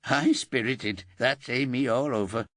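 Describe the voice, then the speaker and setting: A man's voice: medium pitch, measured pace, no echo, studio quality, pitched above the performer's own voice, lightly acted. A man doing an old ex-miner. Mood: friendly, then shocked. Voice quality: broad dialect, gentle and plain-spoken. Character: elderly male ex-miner, warm, grieving bird keeper.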